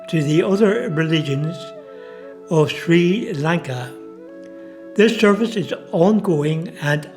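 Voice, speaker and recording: A man with an Irish accent, narrating a documentary and speaking in a measured, unhurried way with occasional fillers. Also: fairly high pitch. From United Kingdom. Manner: slow, measured delivery with hesitant fillers when speaking freely. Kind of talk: scripted narration and casual spoken message.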